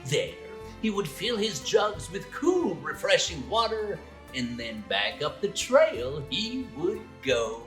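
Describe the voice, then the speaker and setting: Wildly expressive woman storyteller, unhurried. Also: fairly low pitch, faint music behind. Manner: theatrical, expressive, drawn-out phrasing with gasps and chuckles. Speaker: children's storyteller. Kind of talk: animated storybook narration for young children.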